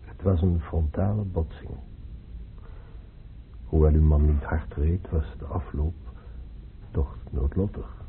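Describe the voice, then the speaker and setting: Sombre, firm doctor, low and slow formal delivery, radio-play medium. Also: male voice, fairly even intonation, exaggerated voice. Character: sober, firm male doctor breaking bad news. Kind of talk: radio drama acting. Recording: hissy muffled phone-like old radio recording.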